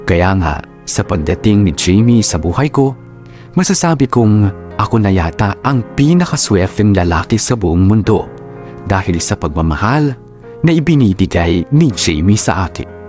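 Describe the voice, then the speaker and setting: A devoted Filipino boyfriend narrating a radio drama. His voice is low, with a sincere, reflective delivery. Soft guitar music plays underneath. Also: very animated, normal speed. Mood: fond reflection.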